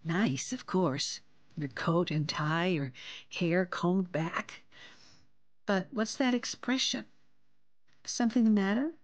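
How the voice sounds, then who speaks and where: An actress playing a gentle, affectionate wife in a vintage radio drama, speaking softly. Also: female voice, fairly low pitch, no room sound. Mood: tender. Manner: soft, slow, affectionate. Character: gentle wife, dressed up and tender.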